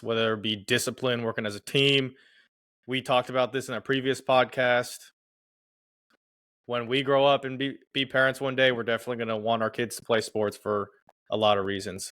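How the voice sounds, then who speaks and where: A man's voice: mid-range voice, fairly bright tone. Man asking short interview questions. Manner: short, upbeat prompts and brief affirmations. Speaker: podcast host.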